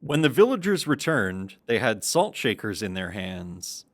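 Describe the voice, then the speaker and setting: A man's voice: fairly low pitch, big pitch swings, unhurried. A man narrating a children's puppet show in a scripted storytelling style.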